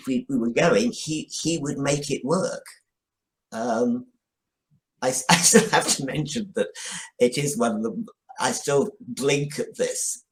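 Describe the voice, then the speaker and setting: An older man reminiscing as an interview guest, speaking in measured, emphatic phrases with deliberate pauses. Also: mid-range voice, rough recording. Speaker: podcast guest, television scriptwriter. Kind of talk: reflective interview recollection.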